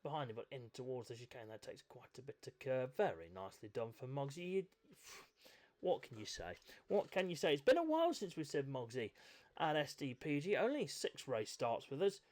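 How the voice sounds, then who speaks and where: A man doing live commentary on a motorcycle esports race, a little croaky from a chest infection, chatty with self-mocking asides. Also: mid-range voice, big pitch swings, a little fast. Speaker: race commentator and event host.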